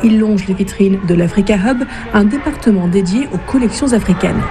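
A woman reporter reading a scripted news report in a measured, even way. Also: medium pitch, music in the background.

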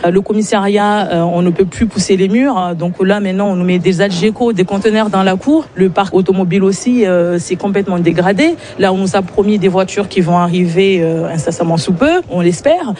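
A woman from Mayotte, a police union representative, answering an interview haltingly with many fillers. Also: mid-range voice, warm tone, a little hiss or hum.

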